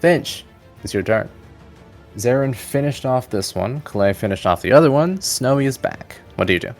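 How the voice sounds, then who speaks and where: A man's voice: mid-range voice, animated, moderate pace, faint music behind. A man narrating a tabletop roleplaying game as game master, in a casual, plain-spoken way.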